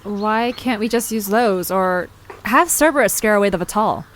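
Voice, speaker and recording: A woman's voice: mid-range voice, moderate pace, a bit bright, a little hiss or hum, lightly acted. A woman playing a casual, impulsive young woman who leads her friends in an audio drama. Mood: skeptical.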